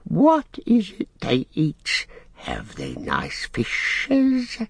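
Wretched whiny storybook creature, super drawn out, hissy and raspy, pitch leaping all over. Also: male voice, wildly swooping pitch, no room sound, full character voice. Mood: hungry wheedling. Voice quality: raspy, hissing, strangled. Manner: repeats words, refers to self in third person, drawn-out. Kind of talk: audiobook character acting.

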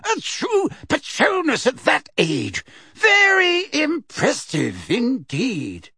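A man reading aloud, voicing a stern older female judge who booms her questions slowly and emphatically. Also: wildly swooping pitch, gravelly, sharp tone, no echo, clean studio sound, heavily acted. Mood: impressed. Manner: booming, slow and emphatic.

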